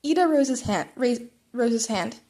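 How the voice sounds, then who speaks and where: High-voiced woman reading fan fiction aloud. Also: expressive, normal speed, dark tone. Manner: stumbles and rereads phrases. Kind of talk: amateur audiobook-style narration of fan fiction.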